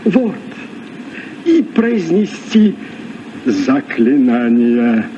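Flowery arabian tale sailor, slow and swooping, noisy old radio recording. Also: male voice, medium pitch, big pitch swings, muffled recording. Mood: grandly courteous. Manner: florid, ceremonious, incantatory. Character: flowery Arabian Nights sailor, courteous, grandiose storyteller. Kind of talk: vintage Soviet radio play acting.

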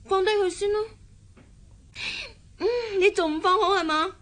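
A woman's voice: extremely high pitch, fairly even intonation, moderate pace, fairly bright tone. A woman with a Hong Kong Cantonese accent acting a jealous, coquettish, childlike young wife in a radio drama, whiny and sulking. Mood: pouty.

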